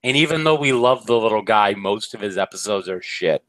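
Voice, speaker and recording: A man's voice: on the low side, animated, a little fast, a bit bright, no room sound. A man co-hosting a casual review chat, wry and blunt with jokes. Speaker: co-host.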